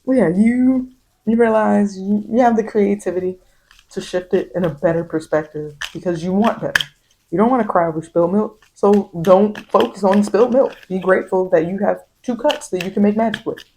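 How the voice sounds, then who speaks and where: A woman's voice: mid-range voice, brisk, warm tone, a little hiss or hum, rough recording. A woman giving an informal, encouraging tarot reading in ASMR style, speaking colloquially and repeating phrases for emphasis. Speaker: tarot card reader and host. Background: bird and rain sounds.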